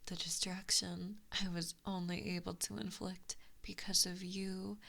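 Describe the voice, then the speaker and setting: A woman's voice: fairly low pitch, little pitch movement, sharp tone, exaggerated voice. A woman voicing an evil, possessive giant kitsune in a whispered ASMR roleplay, teasing and cruel, close on a binaural mic. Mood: smug, threatening. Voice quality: whispery, breathy. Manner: slow, taunting, mock-sweet. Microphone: close binaural microphone.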